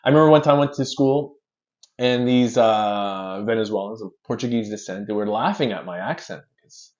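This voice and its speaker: A man from Canada, a guest in a casual interview, telling stories in an animated way and restarting his words often. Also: on the low side, normal speed. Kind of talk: casual chat, storytelling. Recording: dull lo-fi sound.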